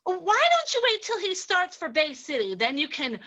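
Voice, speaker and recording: Actress doing a saloon gal from a radio western, flirty gangster's accomplice, high bright voice, coy. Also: female voice, normal speed, full character voice. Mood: worried. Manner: coy, animated. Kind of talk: old-time radio drama reading.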